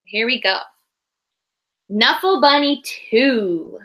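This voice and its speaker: A woman with a fairly high, bright voice reads a children's picture book aloud. She is expressive and teacherly, pausing the story to explain and ask questions as if to small children. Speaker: teacher reading aloud to young pupils.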